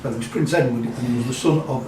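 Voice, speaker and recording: A man answering as a quiz contestant, haltingly thinking aloud in short spontaneous bursts. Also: medium pitch, noisy background. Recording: echoey room, music behind.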